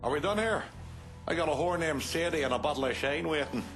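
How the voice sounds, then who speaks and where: An actor playing a cynical, greedy gangster in a video game, crude and sardonic. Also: male voice, fairly high pitch, a little fast, over music, lightly acted. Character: cynical, greedy, hard-boiled male gangster. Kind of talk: dramatic video game dialogue.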